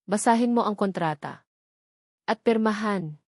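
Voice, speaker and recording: A woman's voice: medium pitch, measured pace, no room sound, studio quality, close to an everyday voice. A Filipino woman voicing a blunt, resentful male lawyer in a dramatic audio storybook. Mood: cold, curt. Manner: blunt, commanding.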